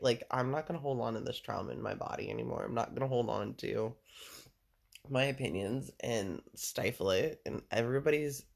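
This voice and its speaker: A man with a deep voice chatting casually and at length to a listener, rambling, full of fillers and casual swearing. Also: creaky. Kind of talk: casual personal chat with a listener.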